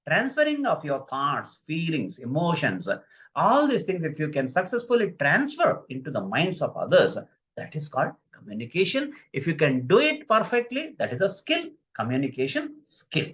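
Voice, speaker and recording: A man's voice: on the high side, huge pitch swings, normal speed, a bit bright. A man giving a live online lecture on communication skills, stressing key phrases, repeating himself and putting questions to his viewers. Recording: dull lo-fi recording.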